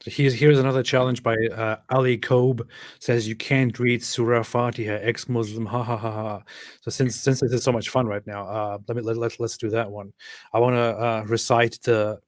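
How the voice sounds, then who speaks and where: A man's voice: mid-range voice, little pitch movement, moderate pace, dry recording. A young man co-hosting a casual chat, speaking dryly and sardonically while reading out viewer messages. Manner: dry, sardonic, reads viewer messages aloud. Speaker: co-host.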